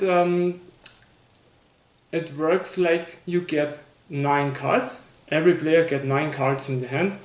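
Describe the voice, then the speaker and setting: Slow Austrian man with echo. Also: a little high. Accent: Austrian German. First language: German. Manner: hesitant, many fillers and restarts. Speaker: board game reviewer. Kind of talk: casual spoken review.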